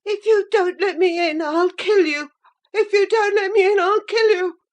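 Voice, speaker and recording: A woman's voice: extremely high pitch, fairly even intonation, normal speed. Woman as a shrieking, frantic, sickly boy. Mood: frantic fury. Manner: shrieking, desperate threats. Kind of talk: audiobook character voice.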